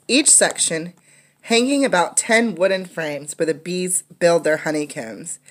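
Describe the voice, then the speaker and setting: A woman's voice: fairly low pitch, a bit bright. A woman teacher reading a children's nonfiction book aloud, adding explanatory asides for young listeners.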